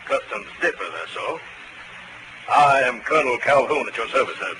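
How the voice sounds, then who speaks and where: An actor playing a courtly, scheming colonel in a 1940s radio drama, with a Southern US accent and a formal, pompous drawl. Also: male voice, medium pitch, moderate pace, natural voice. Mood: courtly, stern. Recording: noisy vintage 1940s radio recording.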